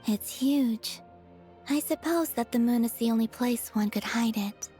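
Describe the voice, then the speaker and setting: A woman voicing a gentle, wistful young moon maiden in a video game cutscene, speaking softly and slightly breathily in measured phrases. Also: fairly high pitch, natural voice. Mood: gentle, reflective. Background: background music.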